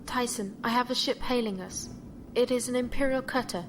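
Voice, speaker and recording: An actress playing a composed, formal ship's artificial mind, speaking precisely and with measured care in a science fiction audio drama. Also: female voice, on the high side, natural voice. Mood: composed, factual. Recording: music behind the voice.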